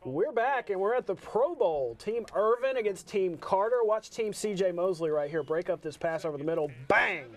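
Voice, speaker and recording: A man with a fairly high-pitched voice works as a sports show host. He moves between calling highlights in an upbeat broadcast style and asking interview questions. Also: lively intonation, moderate pace, few pauses, faint music behind.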